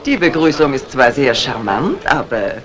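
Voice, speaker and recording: A woman's voice: extremely low pitch, sing-song, moderate pace. An actress playing a composed, self-assured lady crime novelist in a 1950s radio drama, courteous and dismissive of nonsense. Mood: polite, brisk.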